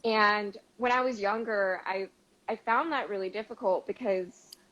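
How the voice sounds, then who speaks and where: A woman's voice: medium pitch, measured pace, fairly bright tone, dull audio. Reflective woman from Arizona, Mandarin native. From Arizona, USA. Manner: reflective, hesitant, many fillers. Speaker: guest. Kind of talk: casual group chat.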